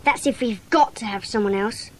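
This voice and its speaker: A woman's voice: fairly high pitch, moderate pace, natural voice. A woman playing a loyal, outspoken and indignant schoolchild in a dramatised children's audiobook.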